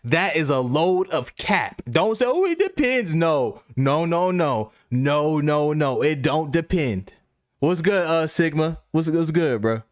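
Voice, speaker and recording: A man's voice: on the high side, animated, slow, dry recording. Blunt, lecturing man on a dry close mic. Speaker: reaction commentator. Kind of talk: commentary over interview clips.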